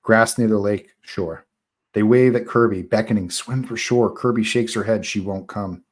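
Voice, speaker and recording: Table read narrator: man, lowish pitch, reading horror screenplay action lines. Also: moderate pace. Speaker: narrator reading stage directions.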